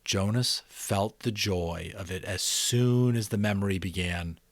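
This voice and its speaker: A man with a fairly low voice reads a novel aloud as a dramatic storyteller. He leans on key words with deliberate emphasis and slips into casual commentary for his listeners. The sound is dry, with very little room in it. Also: no room sound. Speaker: audiobook reader and channel host.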